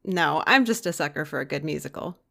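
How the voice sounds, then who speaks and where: A woman's voice: low voice, expressive, brisk, vocal fry, a bit bright, full character voice. A woman playing a wry, secretly sentimental editor with an understated manner in an audio drama. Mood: wistful, wry. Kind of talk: scripted audio drama dialogue.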